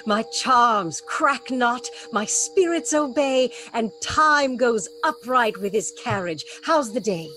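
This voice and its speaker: Woman playing a commanding, slow-spoken vengeful sorceress. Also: fairly high pitch, lively intonation, bright, forceful tone, soft background music, full character voice. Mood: stately, commanding. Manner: commanding, declamatory. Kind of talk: Shakespearean radio play acting.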